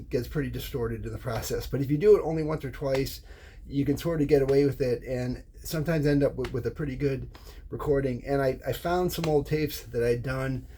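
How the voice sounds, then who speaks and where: A middle-aged or older American man giving an informal, unscripted explanation as a hobbyist presenter, halting and often repeating words or restarting phrases. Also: mid-range voice, dark tone, slight background noise.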